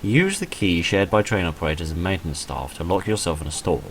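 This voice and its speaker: A man's voice: deep voice, animated, fairly quick, slight background noise. A man reading a visual novel game aloud as he plays, with dry, self-deprecating asides. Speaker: video creator playing and reading a visual novel. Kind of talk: let's play, reading game text aloud with off-script asides.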